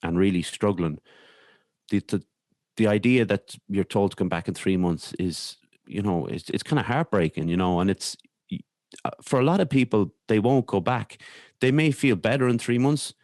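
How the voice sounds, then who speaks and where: An Irish man speaking candidly as a podcast guest, in a hesitant, halting way with repeated words. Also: lowish voice, darkish tone, no echo, clean studio sound. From Ireland. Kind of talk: candid conversational interview.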